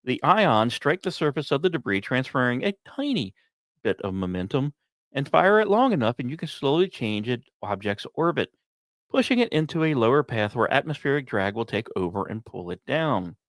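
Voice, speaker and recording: A man's voice: mid-range voice, sing-song, moderate pace, fairly bright tone, dry recording. A man hosting a podcast, reading scripted science commentary with emphatic, dramatic phrasing and informal asides. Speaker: podcast host.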